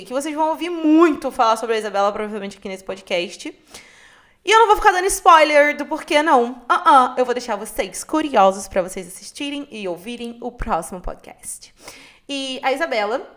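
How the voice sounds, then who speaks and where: Chatty young Carioca woman, higher voice. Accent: Carioca Brazilian Portuguese. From Rio de Janeiro, Brazil. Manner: chatty and expressive, keeps addressing listeners directly. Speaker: podcast host. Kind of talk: casual storytelling monologue.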